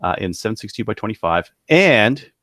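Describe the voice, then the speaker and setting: A man co-hosting a podcast, casually running through products in a halting, thinking-aloud way. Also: fairly low pitch, big pitch swings, fairly bright tone, no echo, clean studio sound. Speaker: podcast co-host presenting gun deals.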